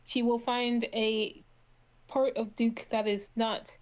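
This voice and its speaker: A woman's voice: fairly high pitch, little pitch movement, takes it slowly. A woman playing a tabletop game, casually and playfully narrating her character's actions.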